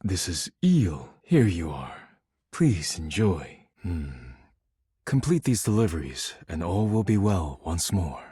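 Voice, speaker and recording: A male actor playing a stern, proud, easily offended dishware character in a video game, speaking formally and curtly with solemn deadpan. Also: low-pitched, takes it slowly, breathy edge, no echo, clean studio sound, exaggerated voice. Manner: formal, curt, deadpan, solemn, avoids contractions.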